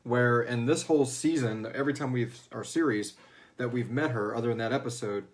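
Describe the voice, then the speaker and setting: A man's voice: medium pitch, brisk. Guy host doing a laid-back q&a, informal, chats and throws in little character bits. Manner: informal, acts out character lines in passing. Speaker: host and writer of a serialized audio fiction series. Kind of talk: casual Q&A discussion, reading out listener questions.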